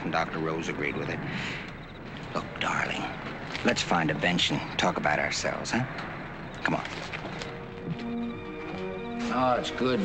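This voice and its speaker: A man's voice: lowish voice, lightly acted, low-quality recording. An actor playing a young doctor in a 1940s film, hurt and cutting with the woman he loves. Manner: warm then cutting. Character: young male doctor, earnest then bitter. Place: outdoor park bench at night on a film set. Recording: old film soundtrack with music.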